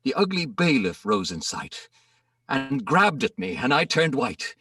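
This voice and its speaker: A man giving a theatrical, measured dramatic reading of rhyming comic verse as the narrator. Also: medium pitch, very animated, bright, forceful tone, husky, no echo, clean studio sound, distant mic. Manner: theatrical, measured storytelling delivery. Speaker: poem narrator.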